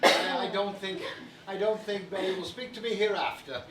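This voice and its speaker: A man's voice: high voice, moderate pace, over a noisy crowd, close to an everyday voice. A man playing an anxious old father, echoey. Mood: anxious, confessing. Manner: hesitant, stammering, apologetic. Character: gentle, anxious old father, guilt-ridden and doting. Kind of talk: stage play acting. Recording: echoey stage from far off, noisy.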